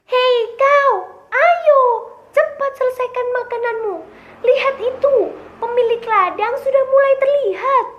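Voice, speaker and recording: Woman voicing a shrill, urgent rabbit. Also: a little slow, highs cut off. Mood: urgent, impatient. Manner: excited, urgent commands. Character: urgent, bossy rabbit friend shouting warnings.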